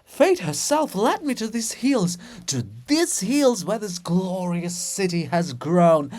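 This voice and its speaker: A man's voice: high voice, lively intonation, slow, darkish tone, voice pushed up. A man voicing a lovesick monument of an apostle, pleading floridly and melodramatically as a scorned suitor in a farce. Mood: florid wooing.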